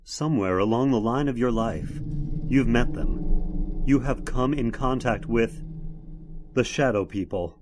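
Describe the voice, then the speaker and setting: A man playing a concerned, steady young hero in old-time radio horror, speaking in an earnest, dramatic way. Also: mid-range voice, measured pace, noisy background, natural voice. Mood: ominous.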